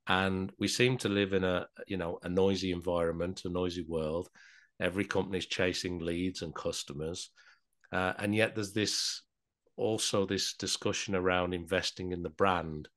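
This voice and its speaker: A man's voice: low-pitched, a bit bright. A man hosting an interview show, introducing his guest and asking long, friendly questions, lifting his voice as he poses them. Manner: welcoming, lifts his voice on questions. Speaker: podcast host.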